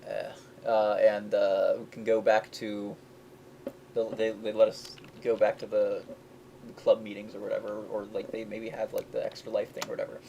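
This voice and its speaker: A man's voice: lowish voice, monotone, normal speed, vocal fry, dark tone, lo-fi sound, a little hiss or hum. A young man, a game developer, rambling in casual chat, often restarting his sentences and laughing at himself. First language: English.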